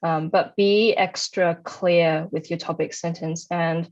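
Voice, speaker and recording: Low-voiced young woman, slightly muffled recording. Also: steady tone, slow pace. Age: young adult. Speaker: interviewee, high-achieving former student. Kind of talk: conversational study advice.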